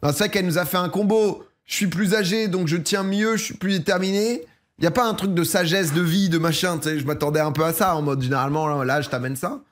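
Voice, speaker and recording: A man's voice: sits high, quick pace. A man casually reacting to a reality show, joking and using loose slang.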